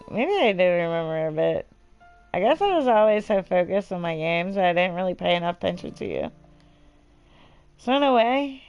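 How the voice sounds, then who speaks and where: A woman's voice: on the low side, darkish tone, smooth, lo-fi sound, voice pushed down, lightly acted. A woman voicing a caring, bashful teenage best friend in a visual novel read-aloud, hesitant and understated.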